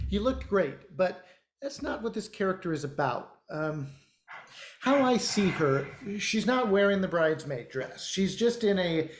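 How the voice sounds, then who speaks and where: A man playing a brusque, condescending theater director in a naturalistic online play, talking in halting, hedging phrases. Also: sits high. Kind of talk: naturalistic virtual stage acting.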